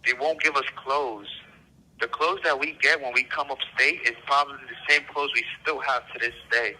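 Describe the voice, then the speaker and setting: A man's voice: medium pitch, fairly even intonation, moderate pace, pushed and bright, a little hiss or hum. A man on a prison phone line, giving blunt, aggrieved testimony as an inmate in short, emphatic, repeated phrases. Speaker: prison inmate being interviewed. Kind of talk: casual, blunt personal testimony. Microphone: telephone.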